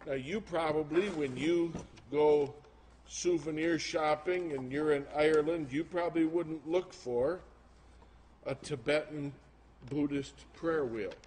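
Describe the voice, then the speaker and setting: A man preaching informally to a congregation, colloquial and emphatic with incredulous asides, recorded live. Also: mid-range voice. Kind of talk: informal sermon with show-and-tell to a congregation. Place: live talk before an audience. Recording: noisy live recording with room ambience.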